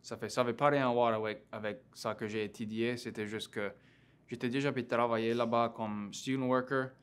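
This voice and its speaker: A young man in his twenties with a Cajun French accent, talking as an interview guest, halting and full of fillers and restarts. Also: medium pitch, fairly even intonation, clean tone. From Louisiana. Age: 20s. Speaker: interview guest, graduate student. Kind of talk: casual interview conversation.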